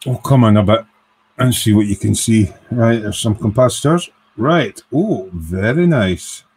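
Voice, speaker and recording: A man's voice: lowish voice, unhurried, darkish tone, husky. A man doing a casual live build of an electronics kit, thinking aloud and counting parts in a workshop. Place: workbench in a home workshop.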